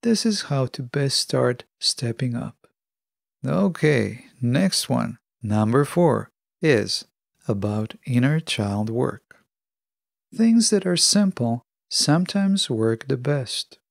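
A man hosting a podcast, delivering a scripted motivational monologue with measured, deliberate phrasing. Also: mid-range voice, animated, creaky, dry recording, clean studio sound. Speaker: podcast host.

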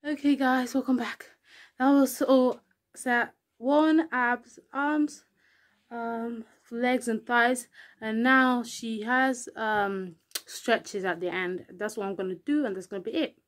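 A woman's voice: steady tone, slow pace. Young woman vlogging between workout sets. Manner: breathless and low-voiced between workout sets. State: out of breath. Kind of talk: casual vlog chat. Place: living room at home.

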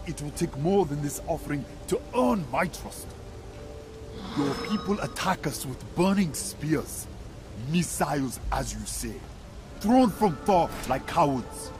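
A scornful alien warrior for a video game. His speech is formal and terse, with dramatic swings in pitch. Faint music plays underneath. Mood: suspicious. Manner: stern, terse, formal pronouncements. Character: proud, distrustful alien Na'vi warrior, stern and scornful. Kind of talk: video game cutscene acting.

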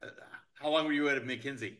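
A man's voice: mid-range voice, fairly quick, a bit bright. A middle-aged man interviewing a guest over a video call from his kitchen, asking short questions with stammered starts. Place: kitchen indoors. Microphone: video call webcam.

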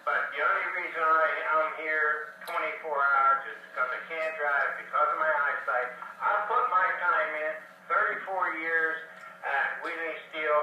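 A man of seventy-one with an American accent, arguing over a CB radio in a halting, defensive, insistent way. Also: on the high side, sharp tone, recorded from a distance, lo-fi sound. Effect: CB radio transmission. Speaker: retired steelworker and radio hobbyist. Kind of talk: argumentative talk over CB radio. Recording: echoey noisy CB radio picked up from afar.

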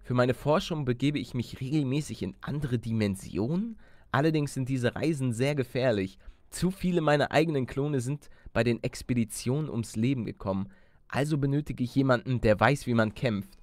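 A man voicing a courteous, scheming duck scientist in a video game, speaking in formal, measured phrases. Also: mid-range voice, no echo, studio quality, natural voice. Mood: businesslike. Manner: formal, measured, deliberate wording.

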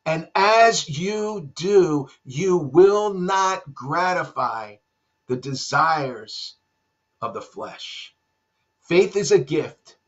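A middle-aged man preaching a sermon at his desk at home, his voice pitched high for a man. He speaks earnestly and sinks into hushed low tones to stress a point. The webcam sound is dull and lo-fi. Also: lively intonation, extremely slow. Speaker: pastor. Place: home room with bookshelves.

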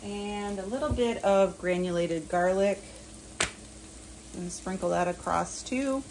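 Older woman, home cook vlogger, chatty step-by-step explainer, mid-pitched, kitchen setting. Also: slow, noisy background. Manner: chatty, explains each step plainly with fillers. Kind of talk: casual cooking vlog.

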